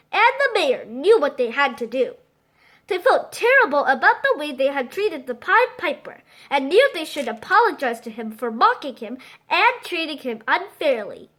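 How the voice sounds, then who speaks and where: A woman reading a children's story aloud as an expressive, scripted narration. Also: high-pitched.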